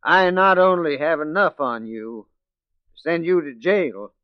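An American actor in 1940s radio style playing a shrewd police detective posing as a crook, commanding, deliberate and theatrical. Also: male voice, on the high side, lively intonation, smooth, no echo. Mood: accusing, triumphant. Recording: dull vintage 1940s radio recording.

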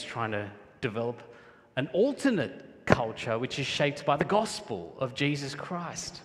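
Animated male preacher in a reverberant hall. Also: medium pitch. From Sri Lanka. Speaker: pastor preaching to his congregation. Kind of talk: sermon. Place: large church hall, speaking to a congregation. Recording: echoey hall with long reverb.

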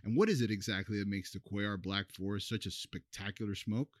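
Low-voiced man reading a cigar ad. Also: a little fast, no echo, clean studio sound. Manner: smooth scripted ad read, then relaxed banter. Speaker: podcast co-host. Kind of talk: read ad, casual chat.

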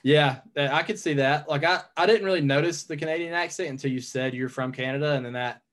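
A man's voice: fairly high pitch, fast, fairly bright tone. A young man with a Southern US accent, chatting easily as a guest on a podcast. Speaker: podcast guest, pro pitcher. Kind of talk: casual podcast chat.

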